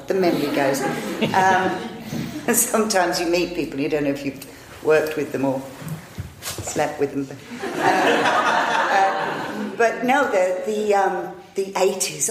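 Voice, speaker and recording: A woman's voice: fairly low pitch, slight background noise. A woman in her fifties, an actress, telling long rambling anecdotes in a chatty, self-deprecating way. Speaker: actress and improviser, interview guest. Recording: echoey room.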